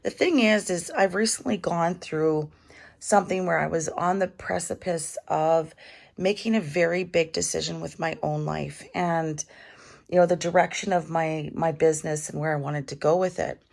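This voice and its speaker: A middle-aged woman with a cold and a raspy voice, chatting casually as she gives a tarot reading at home. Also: low voice, fairly even intonation, moderate pace. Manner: chatty, warm advice with fillers. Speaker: tarot reader.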